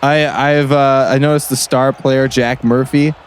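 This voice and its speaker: A man playing an earnest small-town color commentator on sports radio, rambling in a halting, repetitive, folksy way. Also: mid-range voice, level tone, lightly acted. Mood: gravely earnest. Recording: faint gym crowd and noise behind.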